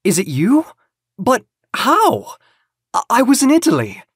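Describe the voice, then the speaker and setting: A man doing a frightened, breathy young man. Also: very high-pitched, wildly swooping pitch, measured pace, dry recording, clean studio sound, voice pushed up, full character voice.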